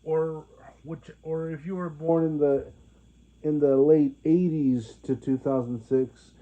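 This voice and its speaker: Halting young man, tinny phone-like sound. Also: on the high side, steady tone. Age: 20s. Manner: halting, many restarts and fillers. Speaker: vlogger. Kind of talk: rambling monologue to viewers.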